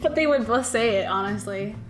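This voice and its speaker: A young woman hosting a Q&A in casual, gushing chat, excitable and prone to giggling. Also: a little high, fairly quick, faint music behind. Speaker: interviewer, host of a fan dub Q&A.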